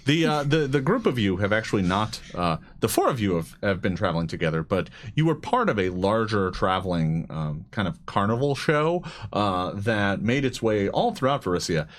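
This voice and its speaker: Halting man running a tabletop game. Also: lowish voice, sing-song. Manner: halting, repeats words, chuckles. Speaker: game master. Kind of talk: improvised tabletop game narration.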